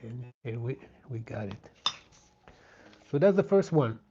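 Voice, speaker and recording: A man with a French Canadian accent, a native French speaker, chatting gently and casually as he hosts a live craft stream. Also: mid-range voice, animated, measured pace, noisy background. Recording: dull, lo-fi sound.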